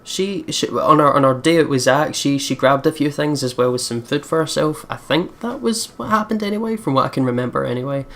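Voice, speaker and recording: A let's play host commenting on a game in an animated, informal way, often repeating words. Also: medium pitch, brisk, few pauses. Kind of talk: game commentary and dramatic read-aloud of narration.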